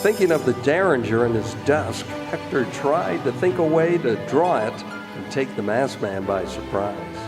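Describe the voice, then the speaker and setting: A man's voice: mid-range voice, expressive, measured pace, few pauses. A man reading dramatic scripted narration in the style of an old-time radio drama. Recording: reverberant hall with music.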